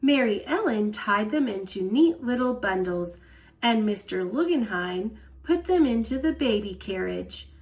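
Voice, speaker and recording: A woman storyteller reading a children's picture book aloud in an expressive style. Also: medium pitch, unhurried, poor audio.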